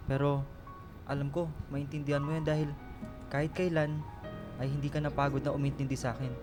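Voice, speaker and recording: Tender, higher-voiced young lover saying farewell male actor. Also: level tone, a little fast, natural voice. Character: tender dying boyfriend reading his farewell letter. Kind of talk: radio drama acting. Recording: music clearly behind the voice.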